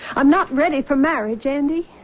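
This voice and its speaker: An actress playing an anxious, reluctant young sweetheart, hesitant and flustered, in 1970s radio drama style. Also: female voice, sits high, moderate pace, close to an everyday voice. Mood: frightened, apologetic. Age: young adult. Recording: dull old radio recording.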